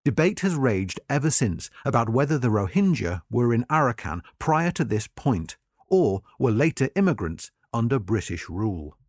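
A man reading a scripted article aloud as narrator, in a measured, formal and articulate manner. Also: mid-range voice, darkish tone, dry recording, clean studio sound.

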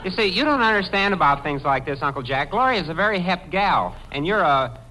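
A man's voice: high-pitched, animated, fairly quick, pushed and bright. A man playing a brash, lovestruck teenage nephew in old radio comedy, boyish and earnest. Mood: exasperated, hesitant. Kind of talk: 1940s radio comedy acting. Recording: slightly noisy vintage radio broadcast.

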